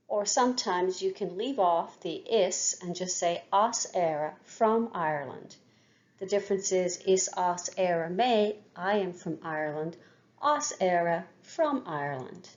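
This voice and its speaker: A woman's voice: medium pitch, darkish tone. A woman teaching an Irish language lesson, saying each word several times for learners to repeat. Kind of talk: language lesson with repetition drills. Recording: dull lo-fi recording.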